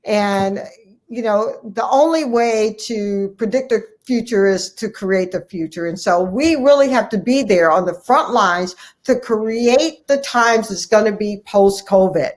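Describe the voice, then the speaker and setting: An American woman physician answering as an interview guest, earnest and emphatic, repeating key phrases for stress. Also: medium pitch. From United States, Ohio. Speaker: guest, family physician and medical association president-elect.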